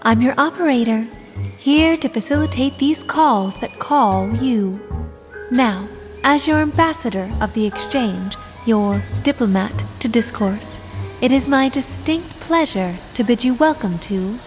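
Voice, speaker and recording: Over music, an actress plays a poised female switchboard operator with a fairly high voice. She narrates a vintage-style radio anthology in florid, theatrical phrases, each one deliberately placed. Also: animated. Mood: grandly welcoming. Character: theatrical telephone switchboard operator hostess, woman, poised and poetic. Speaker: host and narrator.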